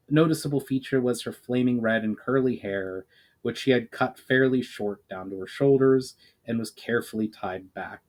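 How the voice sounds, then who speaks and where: A man's voice: mid-range voice, darkish tone. Man reading fantasy story aloud dramatically. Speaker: author reading own book, channel host. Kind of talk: dramatic audiobook-style narration.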